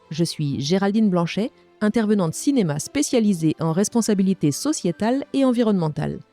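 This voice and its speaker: A woman's voice: medium pitch, dark tone. A woman hosting a podcast, presenting and interviewing in an articulate, careful way.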